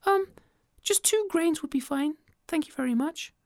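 A woman voicing a tiny, polite little male ant with a squeaky, timid voice. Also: expressive, normal speed, no room sound, studio quality.

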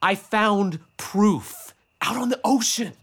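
An actor playing an excitable, obsessive amateur UFO hunter in a family audio drama, urgent and insistent. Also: male voice, high voice, slow, breathy edge, exaggerated voice. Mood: hesitant, earnest.

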